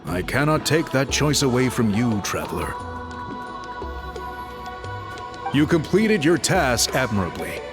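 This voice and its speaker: Man playing a solemn chief with animated intonation. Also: mid-range voice, close to an everyday voice. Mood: solemn, grateful. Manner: formal, measured. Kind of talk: video game voice acting. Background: game music.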